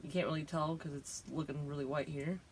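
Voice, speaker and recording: A woman's voice: low voice, steady tone, a little fast, slightly rough. A woman hosting a casual chat, explaining things in a giggly, chatty way with plenty of fillers. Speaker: podcast host.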